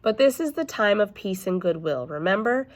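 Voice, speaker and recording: A woman's voice: mid-range voice, lively intonation, voice pushed up, lightly acted. A woman voicing a patient, firm, kindly male schoolteacher in a storybook, speaking in an instructive way. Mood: encouraging. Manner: measured, instructive.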